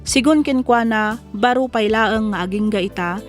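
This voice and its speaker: Ilocano woman narrating over soft music. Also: a little high, moderate pace. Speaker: radio drama narrator reading a listener's letter. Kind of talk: dramatic scripted narration.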